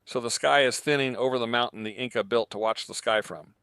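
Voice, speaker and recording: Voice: mid-range voice, a little fast, fairly bright tone, smooth, dry recording, lightly acted. A man playing a reflective, reverent commentator in a scripted radio drama about the world's end. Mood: quiet wonder.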